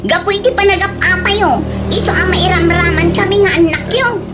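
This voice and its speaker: Very high, girlish daughter acted by a woman. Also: normal speed. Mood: reproachful. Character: young daughter reproaching her quarrelling parents. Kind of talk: comedic radio drama acting. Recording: radio drama track with music.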